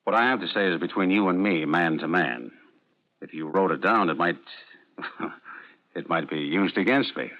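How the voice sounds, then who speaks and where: A man's voice: low-pitched, natural voice. A man playing a stammering menacing patient. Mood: sly. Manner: halting, stammering, coldly menacing. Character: menacing male patient who killed his wife. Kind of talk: 1940s radio acting. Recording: dull lo-fi old radio recording.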